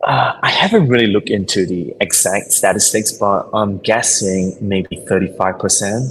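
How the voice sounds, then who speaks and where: Guest coach, male, Singapore-raised, low and husky, earnest explainer with fillers. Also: moderate pace, a bit bright, low-quality recording. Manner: thoughtful, explanatory, with fillers and non-native grammar. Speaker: podcast guest, business coach. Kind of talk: conversational interview answers.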